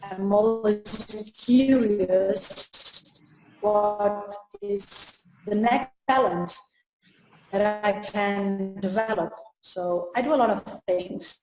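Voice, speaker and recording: Slow, hesitant woman from Portugal. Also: medium pitch, fairly even intonation, a little mellow. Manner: slow and hesitant, many fillers and pauses. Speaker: trainer and coach. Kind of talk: casual interview talk. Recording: echoey room.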